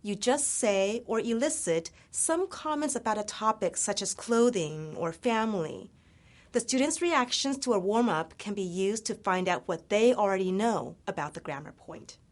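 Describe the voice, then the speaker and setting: Lively woman English teacher. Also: medium pitch, normal speed. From United States. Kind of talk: scripted lesson presentation.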